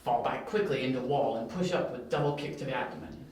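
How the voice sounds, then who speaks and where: A man's voice: mid-range voice, fast, a little mellow, close to an everyday voice. A man playing a smug, taunting criminal mastermind with menacing politeness in an amateur audio drama. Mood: confident, calculating. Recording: very echoey, distant, with faint hiss.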